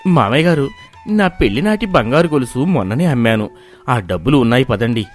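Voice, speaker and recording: A man voicing a gentle, selfless village son-in-law in a moral story, speaking softly and respectfully. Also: mid-range voice, lively intonation, fairly quick, soft background music, close to an everyday voice. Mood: earnest. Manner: soft, respectful, patient. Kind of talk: animated moral-story voice acting.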